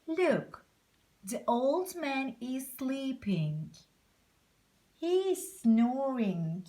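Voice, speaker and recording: A woman telling a picture-book story to young children in an expressive, exclamatory way, taking her time. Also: high-pitched, extremely slow, no room sound.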